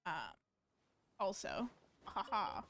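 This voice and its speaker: A woman's voice: medium pitch, wildly swooping pitch, takes it slowly, creaky, a bit bright, slight background noise. A woman giving casual live-stream commentary on a game, rambling and thinking aloud as she plays.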